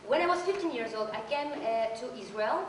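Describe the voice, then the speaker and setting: Halting Russian-accented woman, echoey studio. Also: on the high side, lively intonation, slight background noise. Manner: halting, searching for words, repeats phrases. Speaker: storyteller. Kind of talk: telling a personal story in a workshop performance. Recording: echoey studio room from far off.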